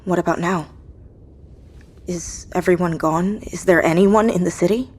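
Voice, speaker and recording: This is an isolated woman survivor in an audio drama. Her voice is on the low side, and she speaks earnestly and hesitantly, anxious to understand. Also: fairly even intonation, measured pace, a little mellow, husky. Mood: anxious, hopeful.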